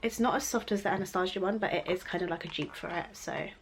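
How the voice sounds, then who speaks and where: A young woman chatting casually through a makeup tutorial, her voice a little croaky from a cold, rambling and laughing easily. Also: lowish voice, quick pace, a little hiss or hum. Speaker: beauty vlogger.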